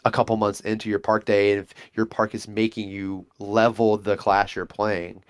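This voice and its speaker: A man's voice: on the low side, little pitch movement, moderate pace, smooth. A man guesting on a casual interview, rambling enthusiastically and acting out little exchanges. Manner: enthusiastic, rambling, acts out quotes. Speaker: guest, monk class player.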